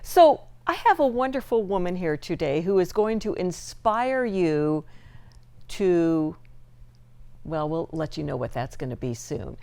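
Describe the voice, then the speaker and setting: A woman's voice: fairly low pitch, lively intonation, unhurried, creaky, a little mellow. A woman hosting a community TV show, enthusiastic and a bit teasing with her guest. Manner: enthusiastic, teasing, self-described nosy. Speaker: TV talk show host. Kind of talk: community TV interview. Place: local TV studio.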